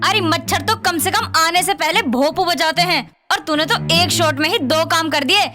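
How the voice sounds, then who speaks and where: Older saas in a family drama: high voice, sharp-tongued, taunting, music behind. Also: female voice, moderate pace. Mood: scornful, angry. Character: scolding, spiteful older mother-in-law, cursing her daughter-in-law. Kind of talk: dramatised Hindi family story acting. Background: background music.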